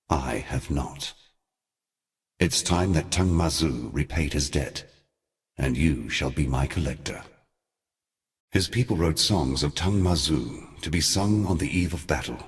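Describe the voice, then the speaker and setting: Ancient god, extremely deep voice, brooding, solemn, eloquent, theatrical video game mentor. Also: male voice, unhurried. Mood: grim. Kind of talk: theatrical scripted game dialogue.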